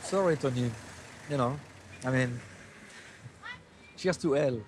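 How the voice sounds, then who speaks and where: A middle-aged man, a chef chatting gently and warmly about food. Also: mid-range voice, takes it slowly, noisy background. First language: French. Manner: gentle, enthusiastic and mild-mannered. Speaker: travel companion chef. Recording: music playing behind.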